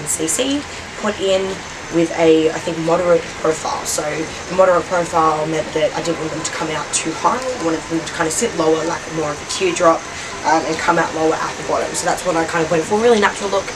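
A woman's voice: deep voice, fairly quick, far from the mic, noisy background. A young woman, chatting in a bubbly, upbeat way to a fixed camera in her living room. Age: young adult. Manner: bubbly, chatty, laughing often. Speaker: vlogger. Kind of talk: upbeat sit-down vlog.

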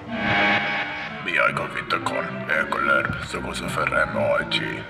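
A man voicing a slow, deadpan sidekick boy in a parody cartoon dub. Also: rumbling low, exaggerated voice. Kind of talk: parody cartoon fandub acting. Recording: echoey, loud music underneath.

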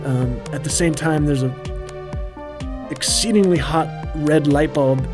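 A man in his thirties giving a rambling, relaxed behind-the-scenes commentary with quaintly formal turns of phrase, full of ums and uhs. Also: fairly high pitch, unhurried. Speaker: puppeteer and co-host of a commentary track. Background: faint background music.

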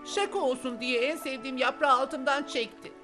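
High-pitched touchy cartoon frog played by a woman. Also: moderate pace, over music, natural voice. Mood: grumpy. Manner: exaggerated, indignant. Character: stubborn, touchy little pond frog. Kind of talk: animated children's cartoon dub.

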